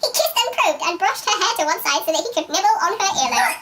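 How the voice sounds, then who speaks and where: A woman's voice: very high-pitched, big pitch swings, fast talker, voice pushed up. A woman voicing an over-dramatic, giggly narrator reading a steamy romance novel in a theatrical manner. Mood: amused melodrama. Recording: distant mic with faint noise.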